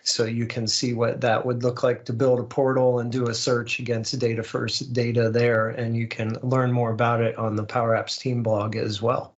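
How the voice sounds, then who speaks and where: A man hosts an online community call in a casual, upbeat style. His voice sits at a medium pitch and the audio is a little dull and lo-fi, as on a web call. He talks through news conversationally, like a presenter at ease. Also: level tone, normal speed, few pauses. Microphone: online call microphone.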